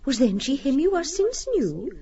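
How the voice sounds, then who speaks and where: A woman's voice: sits high, very animated, normal speed, warm tone, dull audio. A woman playing a domineering, seductive talking fan in an audiobook drama, with clipped, commanding phrases. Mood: knowing, taunting.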